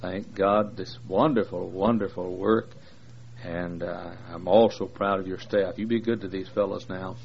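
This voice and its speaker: Low-voiced man in his sixties preaching. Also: fairly even intonation, measured pace, creaky. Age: 60s. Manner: folksy, rambling, with many "uh" fillers and jokes. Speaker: visiting preacher. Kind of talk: joking sermon introduction to a large congregation. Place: large church. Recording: dull lo-fi recording with faint noise.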